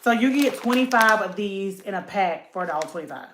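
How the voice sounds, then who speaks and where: A woman's voice: mid-range voice, expressive, a little fast, roomy sound, background noise. A woman, chatting casually to camera about a beauty haul and addressing viewers as y'all. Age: late 30s.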